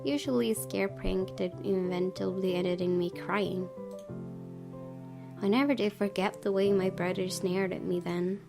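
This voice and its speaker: A woman narrates a visual novel expressively in a medium-pitched voice. Music plays clearly beneath her reading. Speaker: gaming video host.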